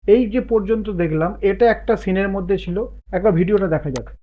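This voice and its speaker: Phone-like, higher-pitched man giving a tutorial. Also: a little fast. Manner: explains while working, thinking aloud. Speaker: tutorial host. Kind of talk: step-by-step software tutorial, casual spoken instruction. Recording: muffled phone-like narow-band sound.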